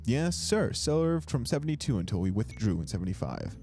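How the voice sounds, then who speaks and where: A man's voice: lowish voice, very animated, a little fast, a little mellow. A man voicing a courteous old bartender and war veteran in an audiobook, polite and plainspoken. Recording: music behind the voice.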